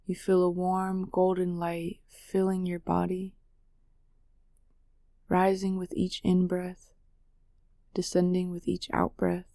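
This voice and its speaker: A woman narrating a guided meditation in a soothing, measured way with long pauses. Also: lowish voice, monotone, creaky, mellow, no room sound, studio quality.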